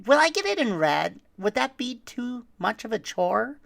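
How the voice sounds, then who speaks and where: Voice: mid-range voice, sing-song, normal speed, gravelly, fairly bright tone, no room sound, voice pushed up. A performer voicing a greedy, spoiled child who petulantly demands more and better presents in a storybook tale.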